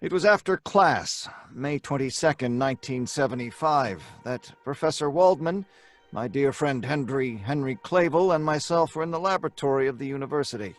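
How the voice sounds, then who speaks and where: A man's voice: mid-range voice, lively intonation, normal speed, close to an everyday voice. An actor playing an earnest, obsessed young scientist telling his grim tale in an old-time radio drama style. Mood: grave, haunted. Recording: music under the voice.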